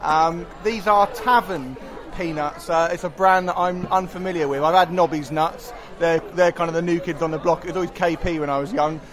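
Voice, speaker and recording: A man with a high voice chats as a comedy guest. The style is casual and comic. A faint crowd can be heard around him. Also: steady tone, fairly quick. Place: out at a London pub. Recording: faint pub crowd, urban bustle.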